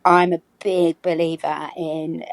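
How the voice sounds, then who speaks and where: A woman's voice: sits low, flat delivery, slow, no room sound. A woman, from the UK, leaving a rambling recorded voicemail as a caller, with many ums. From United Kingdom.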